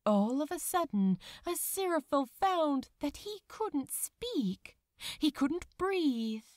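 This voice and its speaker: A woman's voice: high voice, sing-song, slow pace, a little mellow. A woman narrating a story dramatically, with an emotive, theatrical delivery. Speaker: narrator of fan fiction audiobook.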